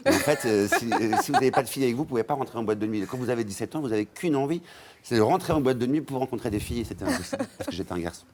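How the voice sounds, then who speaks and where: A man's voice: mid-range voice, animated, heavy background noise. A man guest on a TV debate telling a lighthearted story and joking. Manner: joking, rapid.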